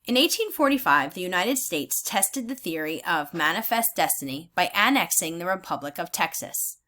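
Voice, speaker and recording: Bright-voiced woman teaching with lively intonation. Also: on the low side. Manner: instructional, deliberate phrasing. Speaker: teacher reading aloud. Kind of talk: read-aloud lesson with think-aloud comments.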